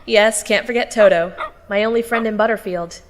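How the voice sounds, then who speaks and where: An actress playing a spirited, cheeky and stubborn teenage farm girl in an audio drama, expressive and conversational. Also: medium pitch, normal speed, close to an everyday voice. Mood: chatty, wry. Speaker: narrator and lead character. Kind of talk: audio drama acting with first-person narration.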